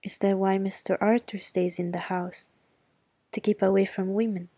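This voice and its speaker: A woman's voice: medium pitch, level tone, moderate pace, mellow, slightly rough, no room sound, natural voice. A woman reading the part of a feisty, stubborn young girl, speaking bluntly and defiantly.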